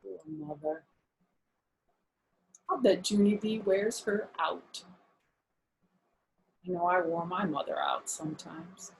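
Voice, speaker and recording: A woman's voice: lowish voice, very animated, slow, vocal fry, pauses often, slight background noise. An older woman reading for children, chatting conversationally and putting questions to young listeners. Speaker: children's story reader. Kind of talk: children's storytime narration. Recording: roomy, echoey home recording.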